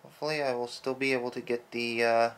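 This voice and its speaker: A man quietly rambling as he paints a toy, his throat a little rough from early-morning allergies. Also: mid-range voice, fairly even intonation, quick pace. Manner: soft-spoken, rambling with fillers. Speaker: toy customizer. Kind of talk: casual hobby narration while painting.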